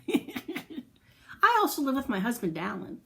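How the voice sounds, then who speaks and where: A woman with a fairly high voice hosts storytime for young children. She takes her time, speaking very slowly in simple phrases. She encourages her listeners and puts questions to them. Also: wildly swooping pitch, creaky, pushed and bright. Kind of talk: read-aloud storytelling and chat to young children.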